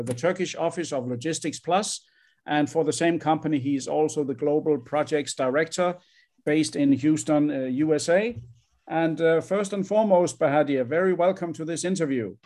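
A man with a voice a little higher than average hosts an online interview. He speaks formally and courteously as he introduces and questions his guest. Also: a little mellow. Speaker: interviewer, host.

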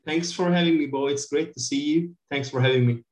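A man's voice: fairly high pitch, fairly quick, a little mellow, reverberant. A middle-aged man with a Turkish accent, giving informal, conversational answers as an interview guest. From Turkey. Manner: informal, peppered with "you know". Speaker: interview guest, company director.

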